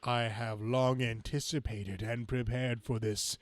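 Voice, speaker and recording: A man's voice: mid-range voice, fairly even intonation, unhurried, no room sound, lightly acted. A man voicing a menacing, gloating man-beast supervillain with stilted, grandiose speech, reading a comic book aloud.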